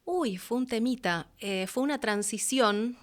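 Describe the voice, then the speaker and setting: A woman with a Rioplatense Argentine accent telling stories casually as an interview guest, expressive and colloquial. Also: fairly high pitch, a little slow. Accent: Rioplatense Argentine Spanish. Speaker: voice actress and announcer. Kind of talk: casual conversational storytelling.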